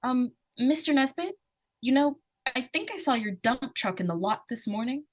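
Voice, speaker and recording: A woman's voice: sits high, expressive, normal speed. A woman acting an inquisitive, innocent-seeming young girl in 1940s radio suspense style, with a hesitant, artless, questioning manner. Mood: wary, innocent.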